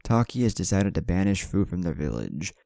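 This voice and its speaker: A man's voice: sits low, big pitch swings, fairly quick, vocal fry, warm tone, no room sound, studio quality, full character voice. A man voicing a short-tempered older woman who leads a village, speaking with formal authority in a fan story. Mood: formal, firm. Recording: background music under voice.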